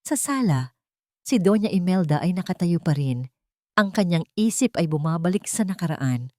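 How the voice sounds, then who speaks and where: Female narrator for romantic melodrama, lowish pitch, emotive and deliberate, dry studio sound. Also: expressive, a little mellow, dry recording. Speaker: story narrator. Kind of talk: dramatic scripted narration of a love story.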